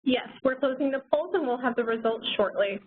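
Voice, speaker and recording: A woman on a webinar reading out poll results in an even, list-like way. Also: high-pitched, fairly quick, breathy edge. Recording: tinny phone line, faint noise.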